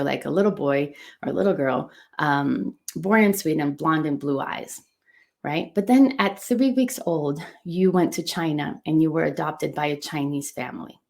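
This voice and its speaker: A woman's voice: low-pitched, warm tone. A middle-aged woman whose first language is Portuguese, teaching an enthusiastic motivational lesson as a coach, stressing points by repeating words. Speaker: coach and run club business owner.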